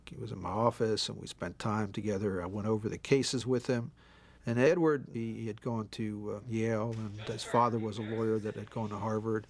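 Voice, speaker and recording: A man's voice: fairly low pitch, fairly even intonation, a little fast. A man, a lawyer, recalling in a matter-of-fact way how he worked with an actor. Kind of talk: retrospective interview.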